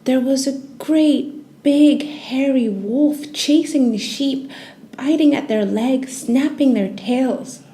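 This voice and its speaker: A woman storyteller reading a children's bedtime tale in a gentle, expressive, soothing narration style. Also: high voice, takes it slowly.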